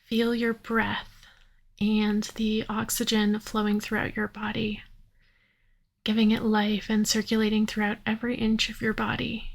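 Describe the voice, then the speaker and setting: A woman leading a guided yoga nidra meditation in a soothing, unhurried manner, leaving long pauses. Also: medium pitch, completely flat delivery, gravelly.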